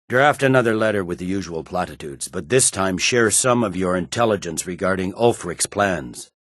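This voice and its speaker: This is a stern male general from a fantasy video game. His voice is deep and recorded very dry. He speaks in a clipped, formal, commanding way, like a weary officer used to being obeyed. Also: dry recording. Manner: authoritative, clipped, brusque, formal. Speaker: military commander. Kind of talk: scripted video game dialogue.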